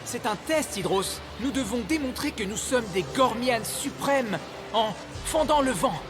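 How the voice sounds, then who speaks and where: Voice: mid-range voice, expressive, unhurried, music in the background, lightly acted. A performer voicing a proud young boy knight of ice in a French cartoon dub, superior and dismissive.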